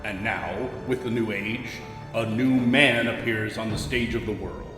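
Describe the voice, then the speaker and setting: A man's voice: fairly low pitch, unhurried, sharp tone, faint music behind. A man narrating a pulp serial in a dramatic, portentous, theatrical style. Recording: echoey reverb.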